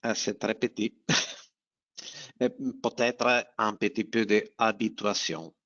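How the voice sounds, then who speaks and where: A man with an Italian accent, a guest doctor answering informally and haltingly, searching for words as a non-native speaker. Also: lowish voice, little pitch movement, a bit bright. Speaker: doctor, guest webinar presenter. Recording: dull, band-limited webinar audio.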